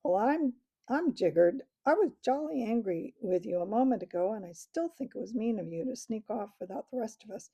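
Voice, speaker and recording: A woman's voice: fairly high pitch, lively intonation, normal speed, warm tone, slightly rough, no echo. A woman reading aloud, voicing a flustered schoolboy who uses old-fashioned slang. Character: blustering English schoolboy.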